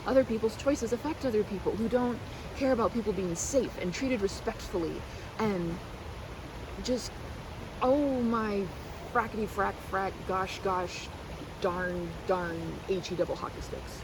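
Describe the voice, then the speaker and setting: A woman in her thirties with an American accent, rambling in a casual, animated and playfully theatrical way as a chatty best friend in an ASMR roleplay. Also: medium pitch, a little slow, noisy background. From United States. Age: 30s. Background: river park ambience with water and vehicle sounds.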